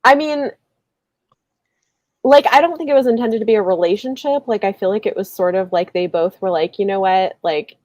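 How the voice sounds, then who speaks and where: A woman's voice: mid-range voice, normal speed, a little mellow, no echo, lo-fi sound. Casual, conversational woman in a dry recording. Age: adult, married with children. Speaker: book discussion host. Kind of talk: casual book chat on a livestream.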